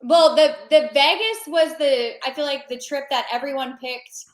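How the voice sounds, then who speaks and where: A woman's voice: high-pitched, moderate pace, reverberant. A woman guest chatting casually on a podcast, rambling with fillers and restarts.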